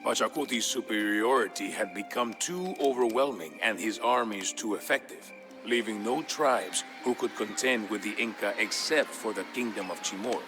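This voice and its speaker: An actor playing a male narrator who recounts an old legend in a measured, scripted storybook manner for a video game. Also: lowish voice, over music, close to an everyday voice. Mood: solemn storytelling.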